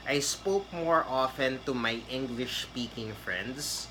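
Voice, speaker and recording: A man's voice: mid-range voice, takes it slowly, bright, forceful tone, a little hiss or hum, poor audio. A man, giving a casual, rambling tutorial on getting hired in a call center. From Philippines. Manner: chatty, rambling with fillers and restarts. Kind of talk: casual tutorial talk to camera.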